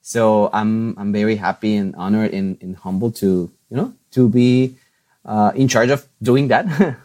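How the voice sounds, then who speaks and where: Upbeat man, chatty and quick to laugh. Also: on the low side, mellow. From Mexico. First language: Spanish. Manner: chatty, upbeat, laughs often, many restarts and fillers. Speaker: TV and radio host, guest. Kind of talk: interview answers.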